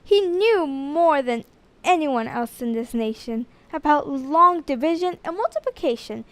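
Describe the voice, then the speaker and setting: A woman reading a rhyming children's story aloud in an expressive storytelling style. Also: high voice, measured pace, dry recording. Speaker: storybook reader.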